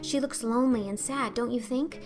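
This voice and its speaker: A woman's voice: a little high, steady tone, normal speed, over music, natural voice. A woman voicing a dreamy, imaginative orphan girl in an audiobook, talking earnestly and effusively. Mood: wistful, imaginative. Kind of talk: audiobook character acting.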